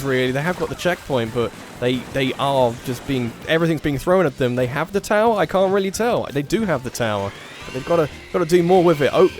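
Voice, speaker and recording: A man calls an online match in loose, rambling play-by-play, often stopping to correct himself. His voice sounds a little nasal and sits at an ordinary middle pitch. Soft music plays faintly underneath. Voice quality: slightly nasal. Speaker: tournament organiser and commentator. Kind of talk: casual live game commentary.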